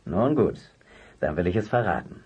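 A grumbly but kind-hearted uncle in a children's radio drama. The voice is husky and swings widely in melody. He blusters theatrically, with good nature under the gruffness. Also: low voice, very animated, moderate pace, lo-fi sound, exaggerated voice. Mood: gruffly kind. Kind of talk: German children's detective radio play acting.

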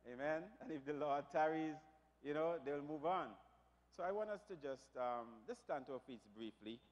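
A man preaching a New Year sermon as pastor, his voice a little raspy from shouting, rising from quiet prayer to loud declarations. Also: medium pitch. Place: church. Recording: long reverb of a church hall.

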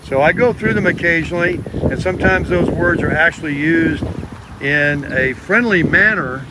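A man's voice: fairly high pitch, moderate pace. An American man vlogging casually, explaining things in a rambling, conversational way. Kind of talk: casual chat to camera. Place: in a car and outdoors in a parking lot. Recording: clear car and outdoor background noise.

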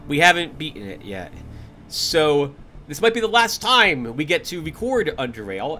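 A man talks to his gaming audience from his computer at home, rambling and candid, with restarts and small laughs. His voice is bright and lively, sitting a little higher than most, but he is stuffy from a cold. A faint hiss runs under the recording. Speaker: gaming channel host. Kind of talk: casual talk to viewers over gameplay.

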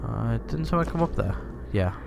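A man's voice: medium pitch, moderate pace, gravelly, over loud music. A man commentating a video game, sounding stuffy and congested. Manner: relaxed, rambling, thinking aloud. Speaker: gaming video host. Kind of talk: let's-play commentary. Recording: commentary over loud game audio.

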